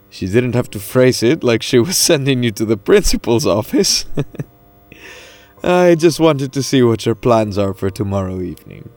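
A man's voice: mid-range voice, big pitch swings, measured pace, a little mellow. An actor playing a friendly, reassuring, hospitable man in charge in an audio drama. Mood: amused, friendly.

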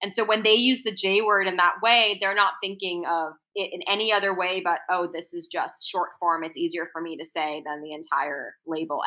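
A woman's voice: on the low side, bright, forceful tone, rough recording. A woman from Singapore with an American accent, sharing personal stories in an interview in an earnest, articulate way. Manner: articulate, earnest, lots of "right?" and "um". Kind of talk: reflective personal storytelling.

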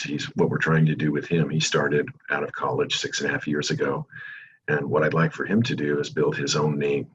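A middle-aged American man with an extremely deep, calming voice that barely rises or falls. He explains things at length in a measured, unhurried way. The recording sounds slightly dull, with a faint hiss behind it. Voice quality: calming low voice. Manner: calm, measured, long-winded explanations. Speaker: real estate agent, guest. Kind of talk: podcast interview answers.

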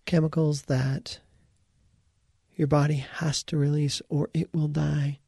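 A man leading a guided meditation as its teacher, speaking in short, deliberate phrases with pauses and a gravelly, husky voice. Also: a little high, level tone, mellow, no echo, studio quality.

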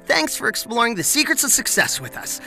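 Podcast outro voice, animated delivery, music underneath. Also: medium pitch, a little fast, vocal fry. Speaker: podcast announcer. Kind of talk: scripted outro announcement.

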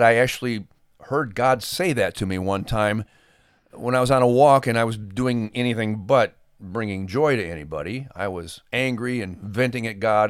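A man's voice: fairly low pitch, smooth, no room sound, studio quality. A man co-hosting a podcast in a casual, conversational discussion style. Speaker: podcast co-host.

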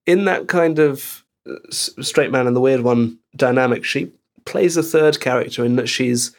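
A young adult man who grew up in Belgium, an actor chatting as an interview guest and telling lively anecdotes. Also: medium pitch, normal speed. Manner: animated storyteller, laughs easily. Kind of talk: casual interview chat, anecdotes.

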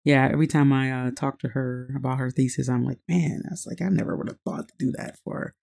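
A man's voice: mid-range voice, steady tone, fast talker, creaky, darkish tone. A man co-hosting a podcast, introducing a guest in an easygoing, rambling way. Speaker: podcast co-host.

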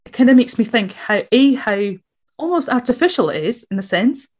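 A woman's voice: a little high, animated, brisk, a little mellow. Brief: woman interviewer, Scottish accent, reflective and conversational. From Latvia.

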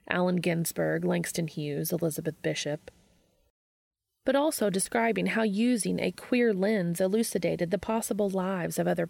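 A woman reads a story aloud in a lowish voice full of heavy vocal fry. The recording is close and dry, and she delivers scripted prose as an audiobook narrator. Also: darkish tone, dry recording. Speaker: fan fiction audiobook narrator.

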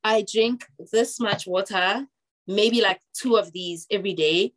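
A woman's voice: measured pace, poor audio. A young woman singer answering interview questions in a chatty, talkative way, with plenty of fillers. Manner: chatty, fillers, drops to low voice.

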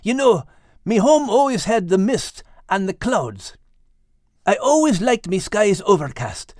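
A man's voice: high-pitched, sing-song, unhurried, a little mellow, no echo, studio quality, pitched above the performer's own voice. A man voicing a troubled, earnest furry animal with a folksy, pleading manner in an audiobook. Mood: wistful. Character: troubled, earnest furry animal, male, self-doubting friend. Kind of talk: audiobook character voice.

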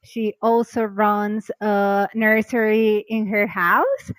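Woman podcast host, upbeat and talkative, quick to laugh; dry, close recording. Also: medium pitch, clear, resonant tone, no room sound. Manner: bubbly, chatty, laughs often. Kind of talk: casual podcast interview.

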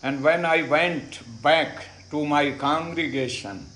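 A middle-aged Indian man whose first language is Hindi, telling his faith story slowly and deliberately, pausing on many "uh"s and repeating phrases. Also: fairly high pitch, a bit bright, echoey room. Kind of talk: unhurried personal testimony. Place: ashram in India.

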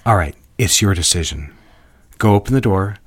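A deep, controlling boss. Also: male voice, moderate pace. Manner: calm, commanding, smooth. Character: controlling married boss, man, middle-aged. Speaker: lawyer boss.

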